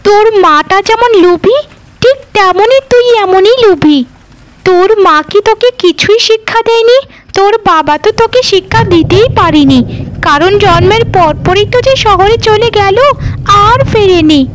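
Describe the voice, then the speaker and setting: A woman's voice: very high-pitched, moderate pace, a little hiss or hum, poor audio. A woman voicing a scornful, haughty rich village woman in a cartoon, sneering and berating. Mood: contemptuous. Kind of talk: Bengali cartoon dubbing.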